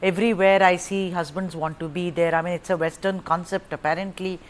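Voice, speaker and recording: A woman's voice: fairly low pitch, steady tone, fairly quick, slight background noise. Probing news anchor woman. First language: Tamil. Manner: probing, pressing questions. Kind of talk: live TV interview.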